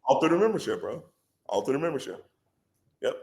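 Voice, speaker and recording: A man with a head cold and a congested voice, a company president talking as a podcast guest in an animated, persuasive way. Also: medium pitch, fairly quick. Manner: animated and persuasive, says "bro" a lot. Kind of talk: casual podcast conversation.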